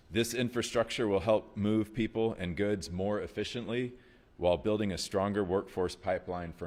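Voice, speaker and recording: Deep-voiced man giving a speech. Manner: measured, reads from prepared remarks. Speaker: elected official at a press conference. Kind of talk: prepared speech in support of a bill. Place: press conference.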